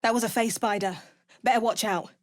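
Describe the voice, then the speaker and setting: A woman's voice: fairly high pitch, steady tone, a little fast, no room sound, studio quality, lightly acted. A woman playing an alert, determined adventurer in a video game, giving short remarks and dramatic spell incantations. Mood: measured, observant. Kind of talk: video game character voice lines.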